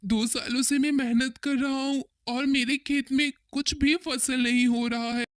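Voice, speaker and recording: A man plays a poor cartoon farmer deep in debt. The voice is very high for a man, in a dry close recording. He pleads with submissive deference. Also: little pitch movement, measured pace, a bit bright, clean tone, no room sound. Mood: pleading, anxious. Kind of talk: Hindi cartoon story dialogue.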